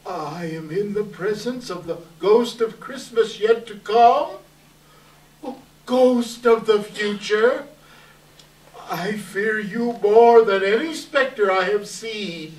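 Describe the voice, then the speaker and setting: A man's voice: high voice, slow, a little mellow. A man playing a frightened, repentant old miser at a readers theater, earnest and pleading. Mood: fearful, pleading. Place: indoor live staged reading. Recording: roomy hall, distant microphone.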